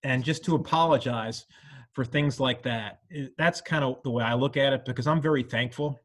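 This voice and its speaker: A man's voice: medium pitch, normal speed. A middle-aged man from New York, an alumnus, speaking earnestly and reflectively in a rambling conversational way, full of "you know" fillers. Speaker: alumnus panelist.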